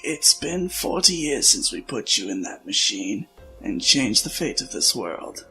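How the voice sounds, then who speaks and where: Man acting a creaky, sharp-voiced old scientist. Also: lowish voice, very animated, full character voice, low-quality recording. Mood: gently apologetic. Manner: measured, explanatory, deliberate. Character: old, worn male scientist, weary, reflective friend. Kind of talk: science fiction audio drama acting. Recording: music under the voice.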